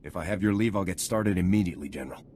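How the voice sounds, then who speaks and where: A resolute male commando captain in a video game, played by an actor. His voice is very deep and his delivery quick and confident, with faint ambience behind it. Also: darkish tone, a little hiss or hum, natural voice. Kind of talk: video game dialogue acting.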